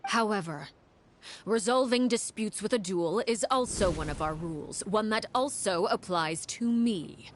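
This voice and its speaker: Woman playing a stern, commanding house matriarch, some rumble behind. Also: lightly acted. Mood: cold resolve. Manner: formal, measured, authoritative. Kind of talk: dramatic video game acting.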